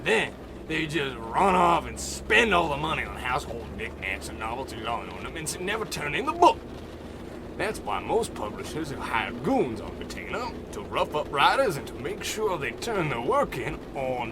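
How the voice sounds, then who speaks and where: A man's voice: fairly high pitch, big pitch swings, normal speed, noisy background. Man playing a swooping, blustery goon boss. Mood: cocky, chatty. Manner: wisecracking, blustery, folksy tough-guy phrasing. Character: blustering, cocky male hired goon boss. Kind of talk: old-time radio comedy acting.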